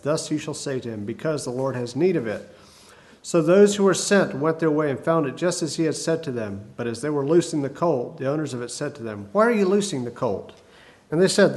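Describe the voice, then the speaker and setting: A man preaching a sermon, hoarse from a cold, talking in a folksy, halting way with many fillers. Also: on the high side, animated, darkish tone.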